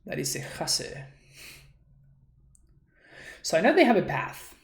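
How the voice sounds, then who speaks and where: A man's voice: on the high side, very animated, takes it slowly, creaky. A man from Argentina, streaming a card game and thinking aloud as he works through his plays. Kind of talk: live card game commentary. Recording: roomy echo.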